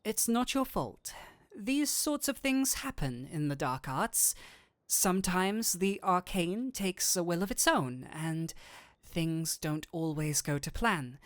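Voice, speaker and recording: A man's voice: high-pitched, very animated, slow pace, a bit bright, no room sound, studio quality, heavily acted. A man playing a flirtatious, nerdy incubus demon in an intimate audio roleplay, teasing and coaxing but kindly. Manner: coaxing, playful, theatrical switches between seductive and flustered.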